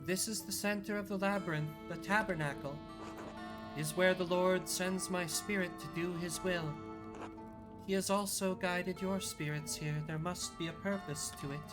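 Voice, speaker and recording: A performer voicing a devout visionary nun, a gentle, bewildered old woman who speaks in a solemn, reverent, measured way over music. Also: medium pitch, fairly even intonation, natural voice, low-quality recording. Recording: music under the voice.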